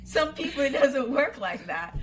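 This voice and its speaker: A woman's voice: high voice, very animated, unhurried. Middle-aged woman podcast host from Jamaica, emphatic and conversational. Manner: emphatic, repeats key phrases for effect.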